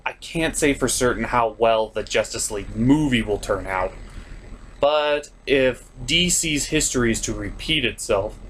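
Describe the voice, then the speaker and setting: A man's voice: mid-range voice, a bit bright, slight background noise. A man hosting a scripted comic review show, speaking in a sarcastic, theatrical way. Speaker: host of a puppet cartoon review show.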